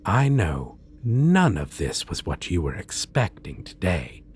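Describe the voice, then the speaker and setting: A man playing a suave, theatrically polite supervillain in an ASMR roleplay, speaking with deliberate pauses and sly menace. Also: low-pitched, wildly swooping pitch, breathy edge, full character voice. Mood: cordial, pleased. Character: suave male supervillain interviewing a minion, courteous, slyly menacing. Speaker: roleplay voice performer. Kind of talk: ASMR roleplay, scripted monologue.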